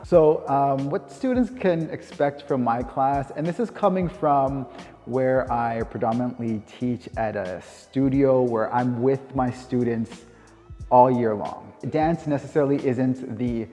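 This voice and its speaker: A Canadian man in his thirties speaks in a mid-pitched, mellow voice. He talks informally and reflectively, often stopping to rephrase, like someone being interviewed. The recording carries long reverb, with music playing underneath. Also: a little slow. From Winnipeg, Canada. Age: 30s. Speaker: dance teacher.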